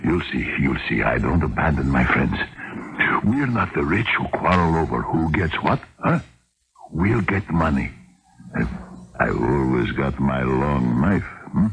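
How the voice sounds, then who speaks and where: A man's voice: extremely low pitch, expressive, a little slow, fairly bright tone, heavily acted. A man acting in an old radio drama as a shifty, hard-drinking Russian vagrant, grumbling and rambling, on a noisy old broadcast tape. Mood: gruff placating. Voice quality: gravelly. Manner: rambling, muttering, grumbling, swinging to outbursts.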